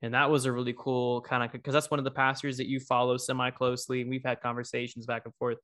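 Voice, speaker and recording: A man's voice: medium pitch, little pitch movement, quick pace. A man hosting a podcast interview, asking long, rambling questions with frequent restarts. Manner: rambling, warm-hearted, restarts often. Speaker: podcast host. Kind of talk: casual interview conversation.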